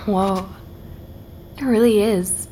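A woman's voice: mid-range voice, fairly even intonation, takes it slowly, dark tone, a little hiss or hum, close to an everyday voice. A woman with an American accent playing a curious, polite investigator in a naturalistic audio drama. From New York.

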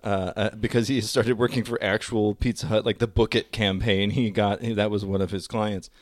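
A man's voice: fairly low pitch, little pitch movement, normal speed, dry recording, clean studio sound. A man co-hosting a casual comedy podcast, telling stories in a wry, wordy way with wisecracks. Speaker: podcast co-host.

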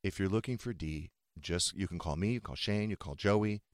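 A man's voice: low-pitched, moderate pace, clean tone, no room sound, studio quality. A man hosting a radio talk show, chatting casually and asking probing, teasing questions.

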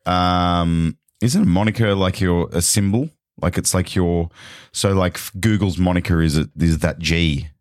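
A hoarse British man with a sore throat, chatting and teasing as a guest on a podcast, with many restarts. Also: low-pitched, a little slow, no room sound, studio quality. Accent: British English. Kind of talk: casual chat.

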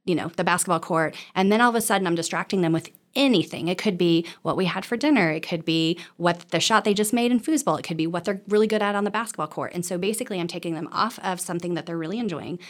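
Woman counselor chatting through advice in an interview, talks really fast, kinda lowish voice, keeps saying right?. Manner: animated self-talk, checks in with "right?". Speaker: counselor or therapist, interview guest. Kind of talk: conversational explaining with examples and acted-out quotes.

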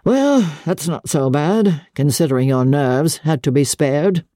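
A brusque, sneering man of wealth, played by a woman narrator in a storybook reading. The delivery is clipped and sardonic, in a close, dry recording with a dark tone. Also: low-pitched, animated, a little slow, dry recording. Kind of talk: dramatic audiobook character acting.

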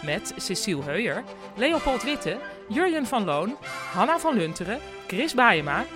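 A woman's voice: mid-range voice, sing-song, a little slow, over music. A woman giving a scripted title and cast announcement for an audio drama.

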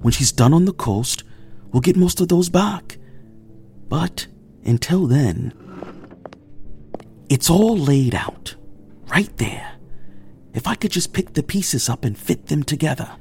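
An actor playing a weary, apologetic rocket engineer in a naturalistic audio drama. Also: male voice, on the high side, expressive, slow pace, long pauses, darkish tone, faint music behind. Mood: frustrated, weary. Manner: downbeat, apologetic. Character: weary, overworked male rocket engineer leading a crew.

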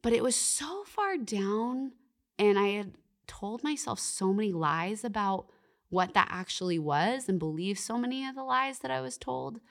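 A woman's voice: mid-range voice, expressive, moderate pace, fairly bright tone. A young woman, a podcast guest talking earnestly and reflectively about faith, often saying "like" and "you know". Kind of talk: faith-based podcast conversation.

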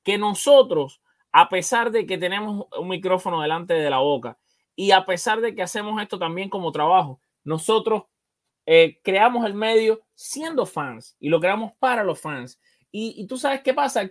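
A man's voice: high voice, expressive, moderate pace. A man hosting a sports show, discussing baseball casually and emphatically, often repeating phrases to drive a point home.

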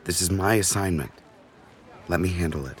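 A man's voice: deep voice, normal speed, a little hiss or hum, natural voice. An actor playing a conflicted, earnest man with a pleading manner in an audio drama. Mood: torn, grave. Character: conflicted, earnest man smuggling people from an oppressive regime.

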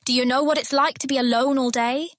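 Woman playing a high-voiced, desperate young prisoner. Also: steady tone, quick pace, no room sound, studio quality, lightly acted. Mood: desperate, breathy. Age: young adult. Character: desperate young female prisoner pleading for contact. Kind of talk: dramatized fantasy audiobook acting.

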